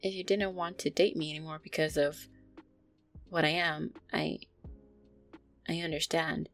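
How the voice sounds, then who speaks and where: A woman playing a gentle, anxious, affectionate vampire girlfriend in an intimate roleplay audio, speaking softly, tenderly and hesitantly. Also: low voice, steady tone, unhurried, faint music behind. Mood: affectionate, nervous. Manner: soft, tender, hesitant, sighing delivery.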